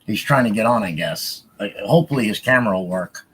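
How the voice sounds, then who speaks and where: A man's voice: on the low side, animated, fast, a bit bright, slight background noise, rough recording. A man co-hosting a livestream in casual chat, often repeating short phrases. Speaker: podcast livestream co-host.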